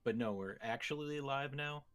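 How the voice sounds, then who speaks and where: A man's voice: medium pitch, normal speed, a bit bright, clear, resonant tone. A man co-hosting a gaming show in casual, conversational banter. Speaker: co-host of a gaming radio show.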